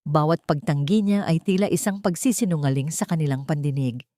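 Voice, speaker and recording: A woman's voice: deep voice, brisk, mellow, no room sound, clean studio sound. A woman narrator delivering dramatic scripted storytelling for an audio drama.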